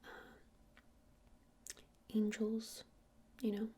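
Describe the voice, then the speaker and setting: A woman playing a friendly witch shopkeeper in an ASMR roleplay, speaking in a soft breathy whisper with hesitant, informal explanations. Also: a little high, monotone, very slow, heavily acted. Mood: gentle, helpful.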